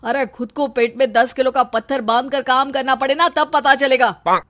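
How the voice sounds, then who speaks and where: A woman acts out a fretful young husband in a cartoon tale, pregnant and easily frightened. The voice sits high for a man, with a pleading, put-upon whine. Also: normal speed, fairly bright tone. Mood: aggrieved, anxious. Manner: complaining, pleading. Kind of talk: cartoon story character acting.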